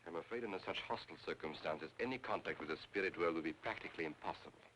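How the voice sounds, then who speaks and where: An actor playing a formal, haughty spiritualist in a film noir. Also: male voice, deep voice, racing, close to an everyday voice. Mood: haughty, evasive. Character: smooth-mannered, haughty male spiritualist medium, evasive and formal. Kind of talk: 1940s film noir acting. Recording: faintly noisy old film soundtrack.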